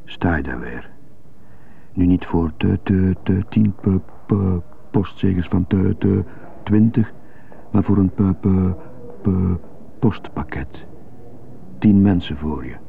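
A muffled, hissy radio drama recording of a troubled man with a stutter. His voice is low and gravelly, and he broods haltingly, as if coaching himself through every hard consonant. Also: animated, soft background music, heavily acted. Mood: tense, halting. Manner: halting, brooding inner monologue.

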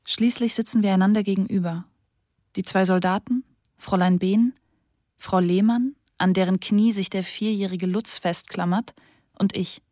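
Female diary reader, measured and sober, dead-dry booth. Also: mid-range voice, fairly even intonation, mellow, dry recording. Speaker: narrator reading a diary. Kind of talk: literary diary reading for radio.

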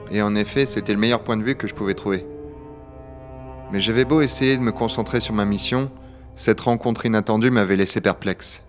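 A man's voice: fairly low pitch, close to an everyday voice. A man playing a sceptical dragon hunter who also narrates in a science-fiction audio drama, blunt, sardonic and colloquial. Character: Owen, gruff, sceptical male dragon hunter. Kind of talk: French audio drama acting and first-person narration.

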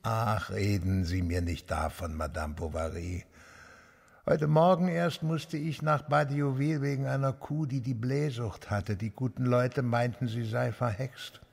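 An actor playing a stout, kindly but obtuse village priest in a radio drama, chatty and matter-of-fact, with a husky, gravelly voice. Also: male voice, medium pitch, fairly even intonation, a little slow, darkish tone. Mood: earnest, obtuse. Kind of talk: German radio drama acting. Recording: long echoing reverb.

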